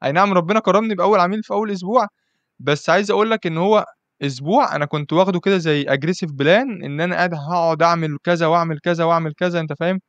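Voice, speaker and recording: A man's voice: sits high, rapid-fire, no room sound, dull audio. A man with an Egyptian accent hosting a casual podcast interview, chatty and constantly asking "you understand?". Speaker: podcast host.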